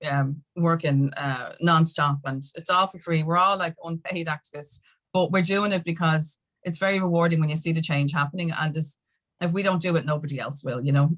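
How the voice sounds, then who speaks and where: A woman with an Irish accent speaks in a deep register for a female voice. She talks conversationally as a podcast guest, circling through her points in a halting, rambling fashion. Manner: rambling, halting, with many "you know"s. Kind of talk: conversational interview.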